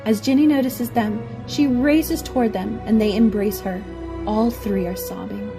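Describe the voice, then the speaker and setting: A woman's voice: on the high side, a little slow, over music. A woman reading scripted story narration for an audio drama.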